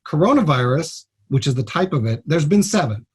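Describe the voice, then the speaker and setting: Husky higher-voiced man, blunt doctor. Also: very animated, a little fast. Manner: plain-spoken, blunt, informal. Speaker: trauma surgeon, guest doctor. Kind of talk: explanatory radio interview.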